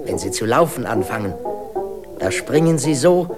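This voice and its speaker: An actor playing a distant herdsman who chants with high sung leaps, heard from far off. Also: lively intonation, moderate pace, natural voice. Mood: chanting. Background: loud music.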